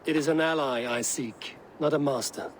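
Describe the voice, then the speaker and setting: Guy playing a sure-of-himself viking warrior in a game, bit high voice, talks measured, slight background noise. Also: close to an everyday voice. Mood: guarded, firm. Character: confident, measured Viking warrior of a raven clan. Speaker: game character in cutscenes. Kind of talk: dramatic video game acting.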